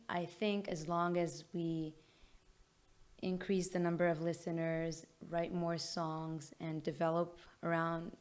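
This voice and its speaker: An Israeli-accented woman talks at a slow, measured pace in a somewhat low voice. She answers interview questions thoughtfully, often pausing on "um" while she gathers her words. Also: a little mellow. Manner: thoughtful, frequent "um" pauses. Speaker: singer-songwriter guest.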